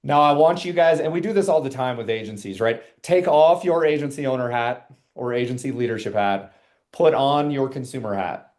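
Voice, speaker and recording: A man in his forties presenting statistics in a lively webinar and bantering with co-hosts, asking the audience questions. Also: medium pitch, brisk. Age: 40s. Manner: energetic, asks the audience questions.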